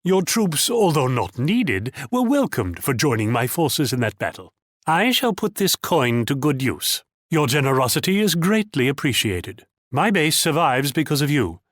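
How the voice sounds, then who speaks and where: A shrewd, moneyed Dutch commander for a strategy video game. His voice sits a little high for a man and swings freely up and down, captured close and dry. He sounds pompous and theatrical, talking down to his listener with proud self-assurance. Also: big pitch swings, normal speed, fairly bright tone, dry recording. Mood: courteous, proud. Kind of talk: video game character voice lines.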